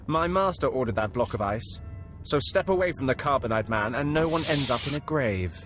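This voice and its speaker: An actor playing a menacing rival thug in a video game, articulate, theatrical and mock-polite. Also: male voice, mid-range voice, animated, a little fast, music in the background, lightly acted. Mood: smug threatening. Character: smug, menacing rival thug with eloquent threats. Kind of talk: video game villain acting.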